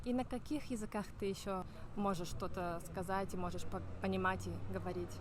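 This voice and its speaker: A woman hosting an interview in a clear, teacherly way, repeating and rephrasing her guest's answers. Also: medium pitch, fairly even intonation, fairly quick, noisy background. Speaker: host. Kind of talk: casual interview.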